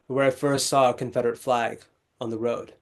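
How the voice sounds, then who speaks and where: A young man from Texas, a legal scholar, speaking as a guest in an interview, articulate and measured with small restarts. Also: medium pitch, little pitch movement. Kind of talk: conversational interview storytelling.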